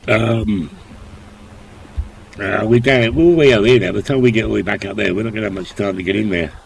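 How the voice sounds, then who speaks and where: Older guy, gravelly lowish voice, coming down with a cold, tired mumbly game chat over noisy game sounds. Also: fairly quick. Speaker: roleplay streamer.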